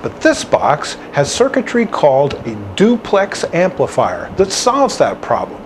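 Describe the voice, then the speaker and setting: A man with a slightly high, very animated voice presents a scripted explainer. He is instructional and emphatic, laying out each point in a clear structure. There is faint noise in the recording. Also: a little slow. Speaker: presenter of a product overview video. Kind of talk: scripted explainer narration to camera.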